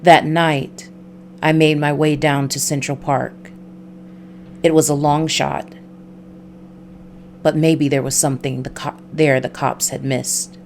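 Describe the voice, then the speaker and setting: A woman's voice: sits low, level tone, slow, pauses often, darkish tone, a little hiss or hum. A woman voicing a world-weary ex-cop private detective, narrating a noir visual novel in a dry, brooding way. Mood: wry, weary.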